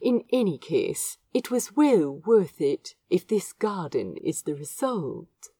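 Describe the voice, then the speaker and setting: Woman reading as a hushed, lovestruck sorcerer. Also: on the low side, big pitch swings, takes it slowly, breathy edge, heavily acted. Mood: resigned, wry. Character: lovestruck male sorcerer, wry yet vulnerable. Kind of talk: romantic storybook reading.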